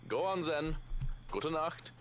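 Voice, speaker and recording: An actor playing a stiff, condescending servant of a mansion in a visual novel game, speaking formally and pompously. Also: male voice, on the high side, expressive, takes it slowly, fairly bright tone, a little hiss or hum. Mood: smug.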